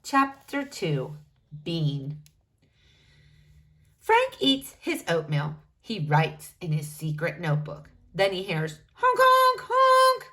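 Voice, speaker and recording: A woman reading a children's storybook aloud, narrating deliberately and expressively for young listeners. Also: low voice, sing-song, fairly bright tone.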